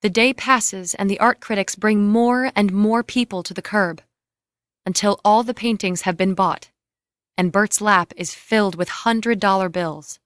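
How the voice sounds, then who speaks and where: A woman's voice: medium pitch, a little slow, no room sound. Dry-sounding woman narrating scene directions. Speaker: narrator reading stage directions. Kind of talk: scripted screenplay-style narration.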